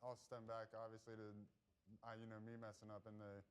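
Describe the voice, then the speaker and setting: A man with a deep voice testifying as a litigant in a TV courtroom, speaking hesitantly with many "you know"s. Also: completely flat delivery, a little fast, smooth.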